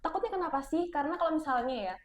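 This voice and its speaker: A woman's voice: high-pitched, expressive, rapid-fire, slight background noise. A young woman hosting a podcast, chatty and agreeable, leaning on casual fillers. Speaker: student podcast host. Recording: roomy echo.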